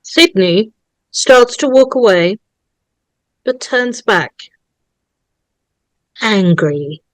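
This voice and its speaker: Female narrator for screenplay directions; medium pitch, unhurried pace, dry close sound. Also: expressive, no echo, low-quality recording.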